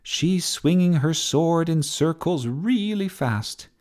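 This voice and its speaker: A man voicing a bossy, excitable young girl in a children's storybook, with a theatrical announcer-like delivery. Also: fairly high pitch, takes it slowly, pitched above the performer's own voice. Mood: excited commentary. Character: bossy, excitable young girl giving breathless play-by-play commentary.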